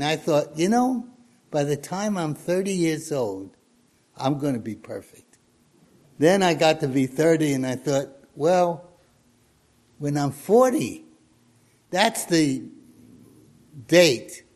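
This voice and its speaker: A man in his eighties preaching a sermon, reading scripture in a slow, measured way. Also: on the high side, darkish tone. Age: 80s.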